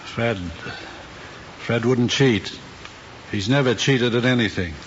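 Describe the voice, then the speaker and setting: Man playing worried middle-aged dad in an old drama, halting and earnest, muffled hissy soundtrack. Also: medium pitch, natural voice. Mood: troubled. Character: ambitious, anxious middle-aged working father of a student. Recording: dull old film soundtrack, faint hiss.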